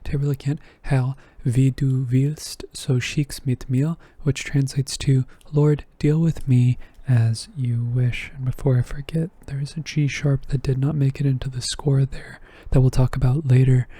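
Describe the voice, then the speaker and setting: A man with a strained, slightly hoarse voice explaining harmony on a score at his desk, thinking aloud and correcting himself. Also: medium pitch, flat delivery, moderate pace, hardly any pauses, warm tone, no room sound. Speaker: music theory teacher. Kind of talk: casual music theory lesson. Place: indoors at a desk.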